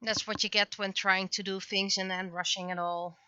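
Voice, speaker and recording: A woman chatting casually on a livestream as an artist at work, speaking haltingly as she thinks aloud. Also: medium pitch, little pitch movement, bright, forceful tone, clear, resonant tone, no room sound, muffled recording.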